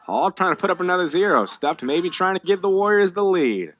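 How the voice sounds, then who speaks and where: An American man calling live baseball play-by-play, conversational between pitches and more animated on the action. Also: a little fast, dull audio, a little hiss or hum. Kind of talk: live sports commentary.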